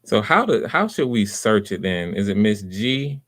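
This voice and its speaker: A man hosting live auditions in casual, unscripted chat, with an encouraging manner and a halting way of repeating and backtracking. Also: fairly low pitch, sing-song, a bit bright. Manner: encouraging, supportive. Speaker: host running live voice auditions.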